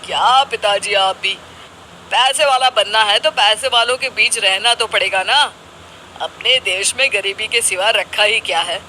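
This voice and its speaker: Man playing an eager, high-pitched cartoon husband. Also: a little slow, pushed and bright, slight background noise, full character voice, poor audio. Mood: eager, defiant. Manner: exclaiming, argumentative. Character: naive, eager, thrifty young husband and father.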